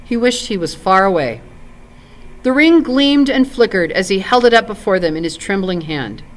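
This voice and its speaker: A woman reads a fantasy novel aloud as a volunteer narrator. Her storytelling is expressive, with a lively range of pitch. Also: normal speed. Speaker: volunteer reader.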